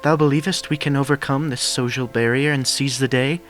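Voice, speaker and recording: A man's voice: mid-range voice, steady tone, a little fast, a bit bright, pitched above the performer's own voice, natural voice. A man voicing a regal, earnest pony princess in a fan story reading, with awkward, archaic, formal speech. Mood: hopeful. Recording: music behind the voice.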